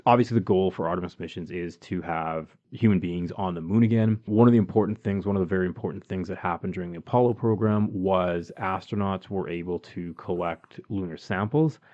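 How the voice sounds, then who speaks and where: A man's voice: deep voice, steady tone, a little fast, few pauses, darkish tone, muffled recording. A man with a Canadian accent co-hosting a podcast, explaining things in a chatty way with many ums and you-knows. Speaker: podcast co-host. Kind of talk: casual podcast chat.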